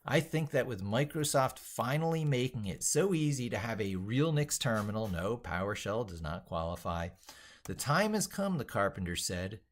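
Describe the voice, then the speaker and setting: A man giving an unscripted live-stream tech tutorial, rambling as he narrates what he does and leaning hard on his points. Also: lowish voice. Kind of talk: unscripted live-stream tutorial, thinking aloud while working.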